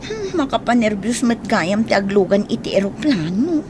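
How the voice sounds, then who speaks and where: A woman playing an exasperated, easily flustered newlywed wife in an Ilocano-accented radio drama, expressive and complaining. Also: medium pitch, moderate pace, background noise, close to an everyday voice. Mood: mildly scolding.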